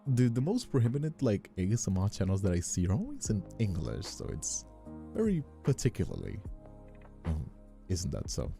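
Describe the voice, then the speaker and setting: Very dark, deep-voiced man, gravelly. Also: wildly swooping pitch, normal speed, soft background music. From Brazil. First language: Portuguese. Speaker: streamer and ASMR voice actor. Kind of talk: casual livestream chat.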